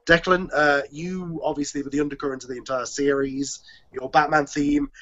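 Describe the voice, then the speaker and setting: A man's voice: a little high, flat delivery, normal speed, fairly bright tone. A man hosting a casual group chat on an online call, talking in a rambling, hesitant way. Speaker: host, audio drama producer. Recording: dull lo-fi call audio.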